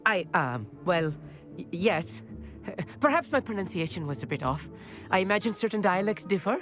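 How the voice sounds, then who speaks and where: A bookish teenage boy wizard in a fantasy game, timid but very courteous. The voice is high for a male, with lively rises and falls, precise and hesitant over faint music. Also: moderate pace. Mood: deferential, sheepish. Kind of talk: video game cutscene acting.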